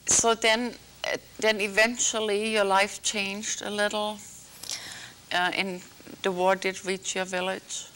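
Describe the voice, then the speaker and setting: A woman from Algeria hosting a small studio talk show, gently prompting her guest with hesitant, filler-laden questions. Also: mid-range voice, flat delivery, takes it slowly, slight background noise, low-quality recording. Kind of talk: interviewing a guest.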